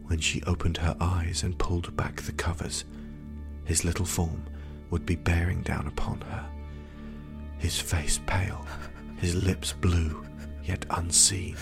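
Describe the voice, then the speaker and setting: A man narrates gothic horror in a very low, creaky voice. He is hushed and grave, taking his time over the scripted lines, with music clearly playing behind him. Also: little pitch movement, unhurried.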